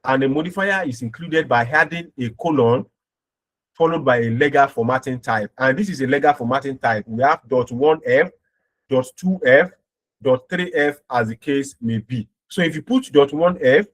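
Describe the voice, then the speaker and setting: A man's voice: mid-range voice, normal speed. A man giving an informal step-by-step programming tutorial as an instructor, often checking in with short tag words as he explains.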